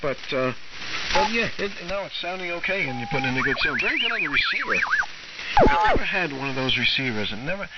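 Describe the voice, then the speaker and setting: An American man, an amateur radio operator, chatting casually over an AM shortwave link, halting and often repeating himself. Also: a little high, huge pitch swings, distant mic. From United States. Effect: AM shortwave radio transmission. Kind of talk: casual on-air radio chat. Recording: muffled AM radio, loud static and whistles. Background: loud radio static and tones.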